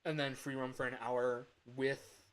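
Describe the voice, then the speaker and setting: A man's voice: medium pitch, level tone, measured pace, a bit bright, clear, resonant tone, poor audio. Rambling man chatting casually on a livestream. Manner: rambling, repeats phrases, often chuckles. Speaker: livestreamer and hobby game developer.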